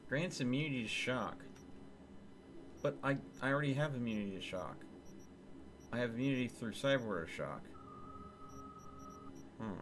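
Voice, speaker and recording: Brief: streamer guy, mid pitch, thinking aloud over a noisy mic. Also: moderate pace, long pauses. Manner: musing to himself, hesitant. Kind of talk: casual gameplay commentary.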